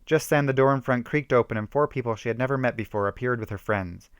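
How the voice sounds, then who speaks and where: Guy doing a homemade dramatic horror story reading, theatrical, mid pitch, really dry sound. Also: fast, clean tone, no room sound.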